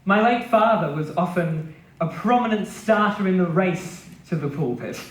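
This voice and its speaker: A man giving a measured, deliberate dramatic reading of a scripted memoir, pausing between phrases. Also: on the high side, slight background noise. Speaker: reader at a literary stage event. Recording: roomy, echoing live venue.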